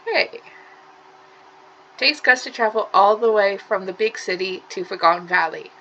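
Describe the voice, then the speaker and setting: A woman's voice: mid-range voice, moderate pace, sharp tone, muffled recording, a little hiss or hum. A woman reading a folksy farm neighbour. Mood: friendly.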